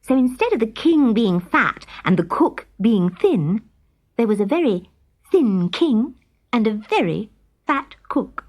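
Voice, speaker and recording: Animated woman reading a children's story. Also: on the high side, measured pace. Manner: expressive storytelling. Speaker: story reader. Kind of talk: scripted children's story narration.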